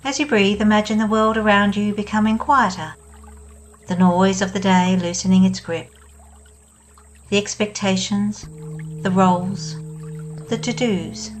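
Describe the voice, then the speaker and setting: A woman guiding a meditation in a soft, soothing voice, speaking in calm, unhurried phrases. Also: medium pitch, soft background music, poor audio. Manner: soft, slow and soothing, with long pauses. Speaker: meditation guide. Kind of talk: guided meditation.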